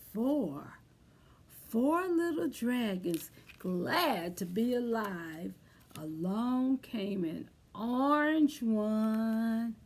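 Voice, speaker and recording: A woman's voice: medium pitch, darkish tone, husky. A woman hosting storytime for young children, encouraging and sing-song as she leads rhymes and simple activities. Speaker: children's library storytime host. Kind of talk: children's storytime with rhymes, songs and craft talk.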